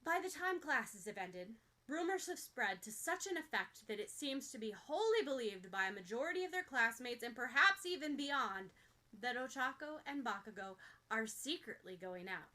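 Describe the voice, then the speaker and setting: Bright-voiced woman narrating a story. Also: on the high side, big pitch swings, moderate pace. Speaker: podfic narrator. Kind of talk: expressive scripted story narration.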